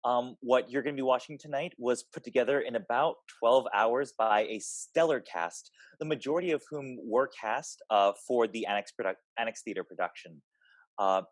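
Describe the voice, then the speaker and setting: Halting man with a dry, close sound. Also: mid-range voice, no room sound. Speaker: theater director. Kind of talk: informal spoken introduction to a show.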